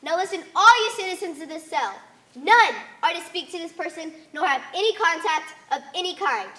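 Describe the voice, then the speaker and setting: A woman's voice: very high voice, expressive, normal speed, sharp tone, exaggerated voice. A young woman playing a stern, solemn religious leader in an amateur stage play, speaking in commanding, declamatory tones. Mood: angry, decreeing. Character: stern authoritarian female religious leader of a conformist society. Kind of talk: amateur one-act stage play acting. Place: theater stage.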